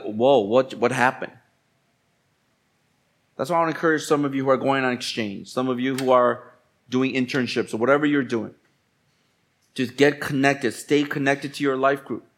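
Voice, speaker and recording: A man's voice: medium pitch, fairly bright tone. Colloquial male pastor preaching. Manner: colloquial, exhorting, repeats phrases for emphasis. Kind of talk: sermon.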